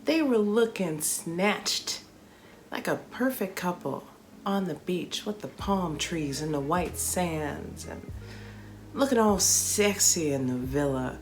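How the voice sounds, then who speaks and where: Playful, slangy woman storyteller, low voice. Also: animated, slow, soft background music. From Chicago, USA. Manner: playful, slangy, dramatic asides. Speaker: comedian telling a travel story. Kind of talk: casual storytelling vlog.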